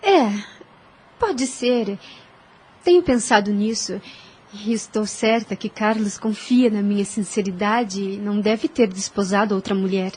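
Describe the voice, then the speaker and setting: Actress as gentle, husky young woman in love. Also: medium pitch, measured pace. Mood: hopeful. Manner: earnest, formal, sentimental diction. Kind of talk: Spiritist radio drama acting.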